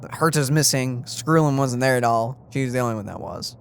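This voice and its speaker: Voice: mid-range voice, brisk, a little hiss or hum. A let's play streamer reading game text aloud and adding casual commentary. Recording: game music in background.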